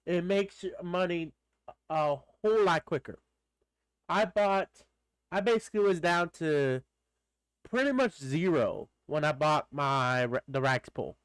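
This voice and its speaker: A man's voice: on the high side, animated, unhurried, a bit bright, smooth, no echo. A man with a stuffed-up nose, streaming a game live and chatting casually, greeting viewers by name and rambling with many fillers. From Texas.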